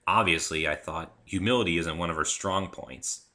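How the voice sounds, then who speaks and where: A man narrating a story in the first person as a dramatic reading, expressive and deliberate. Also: low voice.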